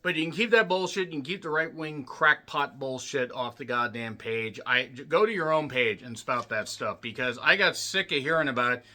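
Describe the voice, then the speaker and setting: A man with a slightly rough, worn voice giving a casual spoken movie review, blunt and full of swearing, clearing his throat often. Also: medium pitch, moderate pace, hardly any pauses, sharp tone. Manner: blunt, profane ranting with frequent throat clearing. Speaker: film reviewer.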